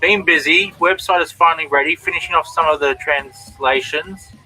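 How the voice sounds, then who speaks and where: Bright-voiced Australian man chatting. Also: a little high, moderate pace, faint music behind, rough recording. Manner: chatty, reads out and answers viewers' comments. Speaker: comic artist and stream host. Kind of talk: casual chat while drawing on a stream.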